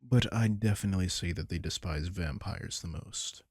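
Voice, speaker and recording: A man playing a protective, gentle vampire king in an intimate roleplay audio, talking unhurriedly and soothingly to one listener in a gravelly, husky voice. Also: sits low, moderate pace, dry recording, clean studio sound. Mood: matter-of-fact, resolute. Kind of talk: intimate roleplay audio drama, comforting monologue.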